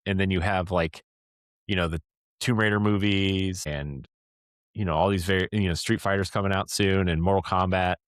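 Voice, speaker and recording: A man with a low voice talks casually as a podcast host. His delivery rambles and he keeps checking in with the listener. The sound is close and dry, with no room echo. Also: fairly even intonation, normal speed.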